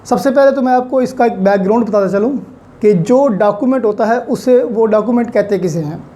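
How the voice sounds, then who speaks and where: Mellow-voiced Pakistani law lecturer. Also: mid-range voice, a little fast. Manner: didactic, repeats key points; mixes English legal terms into speech. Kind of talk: explanatory online law lecture.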